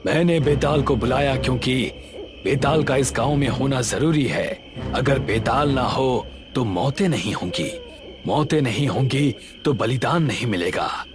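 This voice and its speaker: Man acting a menacing sorcerer, theatrical and raised in pitch. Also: over music, natural voice. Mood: menacing, fanatical. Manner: theatrical, taunting, drawn-out emphatic words. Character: menacing red-eyed occult sorcerer guarding his tree.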